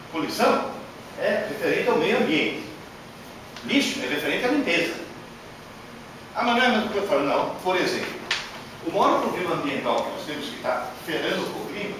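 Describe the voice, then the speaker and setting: A man giving a workshop lecture to an audience, animated and emphatic, with many rhetorical questions, speaking Portuguese as a learned language. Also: fairly high pitch. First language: not Portuguese. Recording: echoey hall from far off, noisy.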